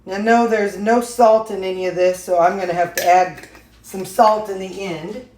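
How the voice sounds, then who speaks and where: A woman's voice: medium pitch, fairly even intonation, normal speed, a little mellow. Chatty woman in an echoey kitchen. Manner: chatty, folksy, talks while cooking. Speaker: vlogger. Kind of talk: casual cooking vlog chat. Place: home kitchen. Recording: echoey kitchen with faint noise.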